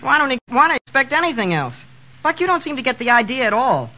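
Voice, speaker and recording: Earnest, girl-struck teen son, 1940s sitcom; bright, quick delivery, dull vintage radio sound. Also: very high voice, sing-song, heavily acted. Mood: earnest, exasperated. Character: earnest, girl-struck teenage son, boyish and self-important. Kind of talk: 1940s radio situation comedy acting.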